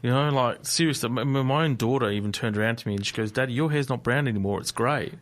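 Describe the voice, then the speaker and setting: A man, co-hosting a casual motorsport chat in a radio studio, animated and quick to laugh. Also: medium pitch. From Australia. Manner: chatty, laughs easily, animated. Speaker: co-host.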